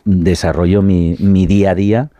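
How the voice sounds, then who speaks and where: Deep, mellow-voiced man being interviewed. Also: steady tone, moderate pace, dry recording, highs cut off. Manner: hesitant, searching for words, laughs easily. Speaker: actor guest. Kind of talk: casual interview chat.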